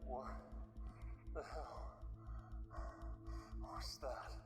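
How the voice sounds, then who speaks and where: Shaken man in horror game, music behind. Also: medium pitch. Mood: shaken, bewildered. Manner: tense, halting, swearing.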